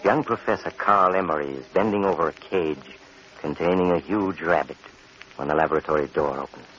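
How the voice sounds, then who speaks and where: Sinister suave doctor host, extremely deep voice, theatrical horror narration, crackly muffled old radio. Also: male voice, a little fast, fairly bright tone. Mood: ominous narrating. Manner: ominous, theatrical, mock-courteous. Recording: muffled, noisy, crackly 1945 radio recording.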